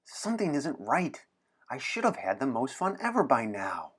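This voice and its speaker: A man's voice: mid-range voice, wildly swooping pitch, moderate pace, breathy edge. A man voicing a serious, bookish little boy from a picture book, with a formal, precise and exasperated manner. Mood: frustrated, urgent.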